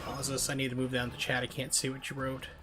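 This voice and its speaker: Male streamer, medium pitch, conversational and explanatory, game music clearly audible behind. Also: completely flat delivery, fast. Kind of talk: casual gameplay commentary with chat. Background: video game sound and music.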